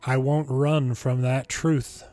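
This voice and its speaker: Man reading game story text, mid-pitched. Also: flat delivery, takes it slowly, mellow. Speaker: game longplay narrator reading dialogue. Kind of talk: scripted narration, reading translated game text aloud. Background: faint game music.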